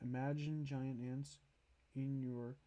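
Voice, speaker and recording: A man giving casual livestream commentary as host, thinking aloud in a halting way as he ranks things. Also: medium pitch, level tone, warm tone, dry recording, muffled recording. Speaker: streamer hosting a movie tier list.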